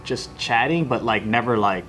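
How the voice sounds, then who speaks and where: A man's voice: medium pitch, moderate pace, pushed and bright, slight background noise, poor audio. A man in his thirties telling a story in casual group chat on a podcast, laid-back, with many fillers. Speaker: podcast co-host.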